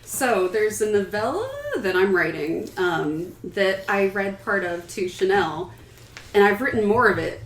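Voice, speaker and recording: A woman's voice: mid-range voice, unhurried. Wry woman in a roomy, noisy recording. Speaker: writer on a podcast panel. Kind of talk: casual chat. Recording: roomy, distant mic with background noise.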